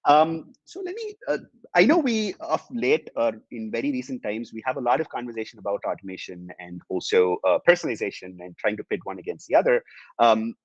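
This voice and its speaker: A man's voice: medium pitch, big pitch swings, a little fast, dry recording, highs cut off. A man whose first language is Tamil, presenting a business webinar with many ums and uhs as he explains. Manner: many fillers, hesitant, explanatory. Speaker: webinar speaker, company executive.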